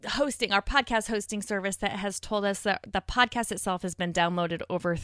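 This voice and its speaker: A middle-aged woman with a bright, forward voice in a dry, close recording. She talks in a chatty, animated way, as a podcast guest sharing casual conversation. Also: medium pitch, brisk, clean tone, dry recording. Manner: animated, chatty, laughs often.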